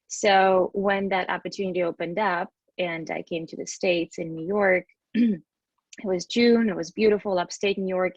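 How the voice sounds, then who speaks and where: A woman's voice: lowish voice, no echo, dull audio. A woman in her thirties with a Russian accent, telling her story casually and expressively as a podcast guest, in a chatty way. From Western Siberia, Russia.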